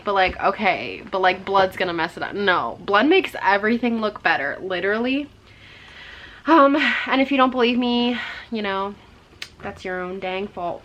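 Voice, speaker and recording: A young woman giving a chatty, rambling makeup tutorial, her voice a little hoarse from a cold. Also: mid-range voice, sharp tone. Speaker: makeup vlogger.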